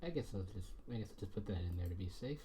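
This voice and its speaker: A man's voice: sits low, animated, quick pace, mellow, slight background noise. Hesitant self-conscious man streaming a game. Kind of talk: blind playthrough commentary. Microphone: desk mic. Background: game music.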